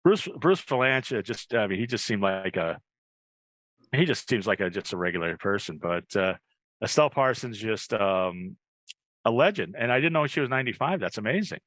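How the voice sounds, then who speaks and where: A man hosts a casual interview show in a fairly low voice with a bright, forward edge. The sound is dry, with very little room to it. He talks conversationally, as podcast hosts do. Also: animated, normal speed, no echo. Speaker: podcast host.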